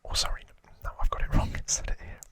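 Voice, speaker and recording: A man whispering an informal ASMR recap into a close microphone, hesitant and rambling as he corrects himself. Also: fast. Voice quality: whispered, unvoiced. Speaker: ASMR video host.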